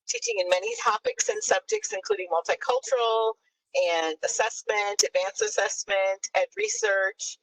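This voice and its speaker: A woman counseling professor giving a short welcome to new students on a video call, with a lively, sing-song delivery. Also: mid-range voice, gravelly, distant mic, slight background noise, poor audio. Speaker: counseling professor and track director. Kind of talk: welcome speech.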